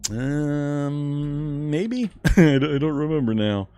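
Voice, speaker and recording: A man's voice: on the high side, takes it slowly, warm tone, clear, resonant tone, faint music behind. A man streaming a video game, chatting casually and answering viewer questions with a fairly strong speaking voice. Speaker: gaming streamer. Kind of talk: casual live-stream chat while gaming.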